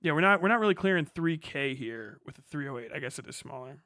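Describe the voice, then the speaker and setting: A man livestreaming casually, muttering figures as he reads and thinking aloud in halting bursts with plenty of swearing. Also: fairly high pitch, animated, pushed and bright, dry recording, studio quality. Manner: swears freely. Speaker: live streamer.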